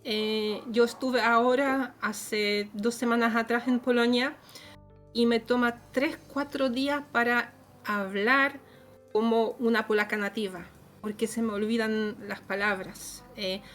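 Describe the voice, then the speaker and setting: A woman's voice: on the high side, steady tone, a bit bright, soft background music. Polish-accented woman speaking Chilean Spanish. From Poland. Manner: halting, many fillers and restarts. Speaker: guest author. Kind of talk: casual interview chat.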